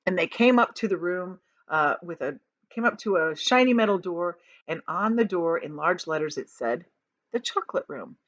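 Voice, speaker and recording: A woman's voice: on the low side, expressive, normal speed, few pauses, a bit bright, muffled recording. A woman teacher reading a story aloud to children, stressing descriptive words and explaining things in casual asides. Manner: explanatory, addresses young listeners, emphatic on descriptive words.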